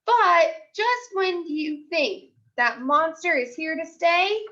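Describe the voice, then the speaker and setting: A woman teacher reading aloud to young children in an expressive storytelling way, with deliberate pauses. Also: very high voice, highs cut off. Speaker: elementary school teacher.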